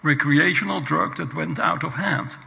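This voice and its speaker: A man making a deliberate, halting comment from a floor microphone at a conference. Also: a little high, gravelly, pushed and bright, long reverb tail. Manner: deliberate, halting speech with pauses. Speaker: audience member at a conference. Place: large conference hall.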